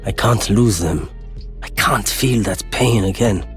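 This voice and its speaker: Male actor as anguished game agent, lowish voice, desperate and pained, faint music underneath. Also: unhurried, lightly acted.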